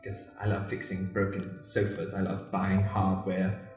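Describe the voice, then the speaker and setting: A man's voice: deep voice, monotone, normal speed, darkish tone, pitched below the performer's own voice, close to an everyday voice. A man playing an overzealous, earnest hardware store clerk in his forties with stilted formality, in an improvised Victorian radio play. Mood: eager. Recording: very echoey room, music behind.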